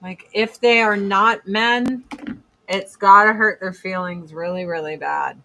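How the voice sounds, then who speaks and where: A woman in her forties with a deep voice, chatting bluntly and profanely on a casual livestream while doing her makeup. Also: measured pace, bright, forceful tone, a little hiss or hum, low-quality recording. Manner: blunt, profane, sarcastic, reads chat comments aloud. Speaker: livestream host.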